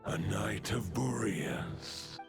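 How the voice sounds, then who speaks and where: A man playing an ancient, weary wolf god in a video game, solemn and halting, with a gravelly, breathy voice. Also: fairly low pitch, full character voice. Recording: music under the voice.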